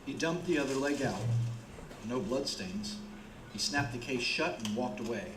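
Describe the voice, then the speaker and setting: A man's voice: medium pitch, lively intonation, normal speed, noisy background, lightly acted. A man acting as the plain, grim storyteller of a radio play, reading scripted narration. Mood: grim, measured. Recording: roomy, with music behind.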